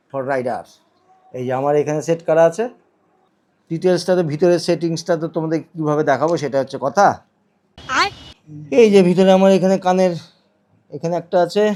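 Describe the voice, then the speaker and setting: A man's voice: on the high side, a little mellow. A man giving a casual hands-on tutorial, explaining step by step and often repeating his phrases. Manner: instructive, repeats phrases while demonstrating. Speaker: vlogger demonstrating product installation.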